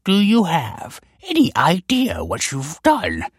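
A skinny, stiff-backed scholar of middle age, full of haughty indignation. His voice leaps high above a normal male register and swings wildly as he scolds in ornate, spluttering storybook style. The sound is close and dry. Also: huge pitch swings, slow, a bit bright, breathy edge, dry recording, exaggerated voice. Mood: fuming. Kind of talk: audiobook character voice.

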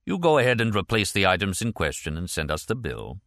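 A man voicing a suspicious, mock-polite customs supervisor with a dry, deadpan interrogating manner. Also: low voice, fairly quick, no room sound.

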